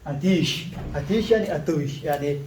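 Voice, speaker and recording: A man with a high voice teaches a casual lesson, stopping often with fillers and restarts and going back over each word slowly. His first language is Pashto. The room around him is echoey. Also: darkish tone, a little hiss or hum. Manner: halting, with many fillers and restarts, repeating words slowly.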